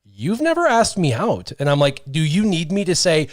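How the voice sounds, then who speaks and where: A man's voice: a little high, very animated, normal speed, no room sound. A man in his thirties hosting a casual livestream chat, telling stories animatedly and reading viewer comments from a small studio on a stand mic. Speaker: host. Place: small studio with brick wall. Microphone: studio mic on stand, headphones.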